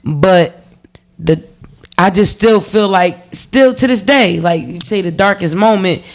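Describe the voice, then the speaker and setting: A man's voice: high voice, a little slow. A man, telling his personal story on a podcast in a candid way, repeating phrases and swearing freely. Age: 30s. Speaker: podcast guest.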